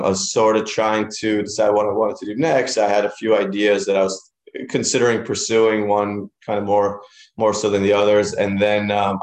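A man in his thirties, originally from Israel, talking casually as an interview guest with many fillers and restarts. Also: fairly low pitch. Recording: roomy echoey room.

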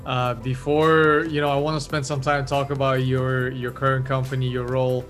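A man's voice: mid-range voice, level tone, normal speed, a bit bright, faint music behind. A man hosting a podcast interview, upbeat and encouraging as he asks questions. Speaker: podcast host.

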